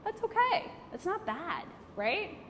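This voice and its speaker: A woman's voice: very high-pitched, takes it slowly. A woman giving a casual, joking talk on networking to a live audience and taking their questions. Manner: candid, joking, animated, frequent "like". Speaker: networking speaker. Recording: hall reverb, faint background noise.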